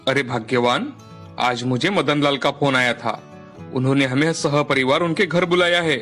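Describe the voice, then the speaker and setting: A man's voice: medium pitch, moderate pace, a bit bright, natural voice. A man playing a doting, earnest village husband and father in a storybook tale, with music behind. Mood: matter-of-fact.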